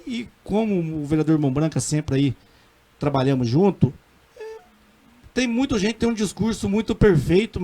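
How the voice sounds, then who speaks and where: A middle-aged man with a Minas Gerais accent speaks in a fairly high voice, earnest and emphatic as a councilman on a radio interview. He halts and restarts often but presses his points with conviction. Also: a little mellow. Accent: Minas Gerais Portuguese. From Brazil. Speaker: city councilman.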